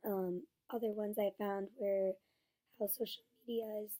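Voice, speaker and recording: A young woman with a hoarse voice from being sick, giving an earnest class presentation on her research paper. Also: medium pitch, fairly even intonation, normal speed, dark tone. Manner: earnest, with fillers and restarts. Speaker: student.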